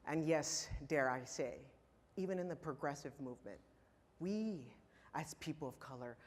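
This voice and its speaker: A man in his thirties, from Los Angeles, delivers a prepared keynote with passion and emphatic pauses. His voice carries a long reverb tail from the large conference hall he speaks in. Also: fairly high pitch. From Los Angeles, California. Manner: impassioned, emphatic, with pointed pauses. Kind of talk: prepared speech to a conference audience.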